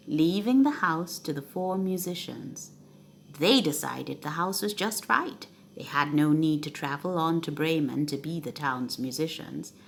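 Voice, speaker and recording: A woman reading a children's story aloud with expressive, dramatic emphasis. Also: low-pitched, slight background noise.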